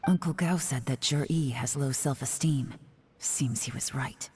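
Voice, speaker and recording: A woman voicing a cool, composed female spy in a game, with dry, hushed, wry asides. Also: a bass voice, a little slow, slight background noise.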